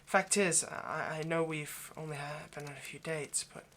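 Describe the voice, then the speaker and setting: A man's voice: a little high, steady tone, moderate pace, fairly bright tone, lightly acted. A man playing a nervous, centuries-old vampire kidnapper in an intimate ASMR roleplay, hesitant, stammering and apologetic as he speaks to the listener. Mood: tender confessional. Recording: faint background noise.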